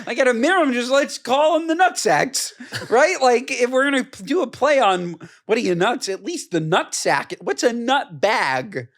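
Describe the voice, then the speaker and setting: A man's voice: extremely high pitch, big pitch swings, moderate pace, a bit bright. A man co-hosting a podcast in casual chat, joking in exaggerated, mock-outraged riffs. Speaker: podcast co-host.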